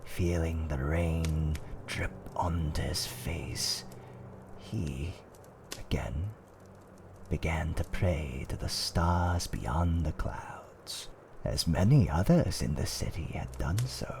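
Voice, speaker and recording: A man playing a fantasy tavern owner, telling dark fireside tales in a hushed, dramatic roleplay ASMR style that often drops toward a whisper. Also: extremely low pitch, slow, creaky, background noise, full character voice. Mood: ominous, hushed. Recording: faint background ambience.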